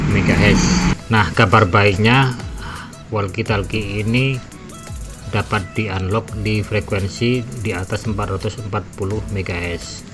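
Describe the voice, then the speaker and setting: Low-voiced man explaining step by step. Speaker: gadget reviewer. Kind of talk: tutorial voice-over. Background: background music.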